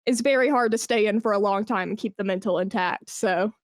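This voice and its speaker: A woman's voice: medium pitch, brisk, no echo, studio quality. A young woman talking candidly and informally as a podcast guest, with wry turns of phrase. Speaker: podcast guest, esports brand manager. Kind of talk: casual interview chat.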